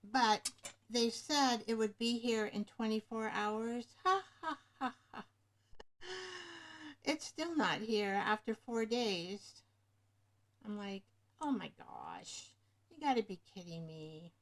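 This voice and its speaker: A woman hosting a casual craft livestream, rambling and pausing to search for words, speaking into a webcam microphone. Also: a little high, sing-song. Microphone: webcam microphone above the screen.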